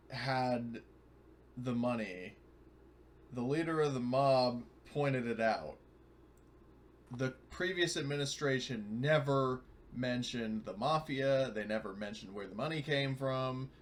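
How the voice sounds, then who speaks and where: Casual man gaming, faint background noise. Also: medium pitch. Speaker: tabletop roleplaying player. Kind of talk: casual game chat.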